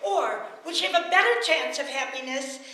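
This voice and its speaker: A woman's voice: sits high, brisk, bright, forceful tone. An actress playing a brash, stubborn maid in a radio domestic comedy, blunt and argumentative with her employers. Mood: gossipy indignation. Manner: blunt, put-upon, argumentative. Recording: very echoey, distant, faintly hissy radio-play recording.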